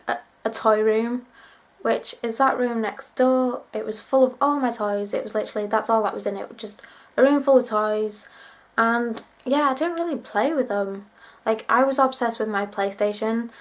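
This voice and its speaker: A twenty-year-old woman vlogging to camera, chatting in a rambling, self-deprecating way while sick with a cold and cough. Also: a little high. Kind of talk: casual chat to camera.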